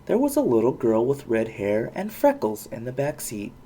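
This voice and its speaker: A parent reading a children's storybook aloud with expressive narration. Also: medium pitch, moderate pace, a little hiss or hum.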